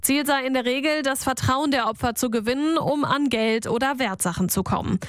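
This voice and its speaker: High-voiced woman radio reporter. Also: brisk, no room sound, studio quality. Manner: crisp, formal report delivery. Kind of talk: scripted news report.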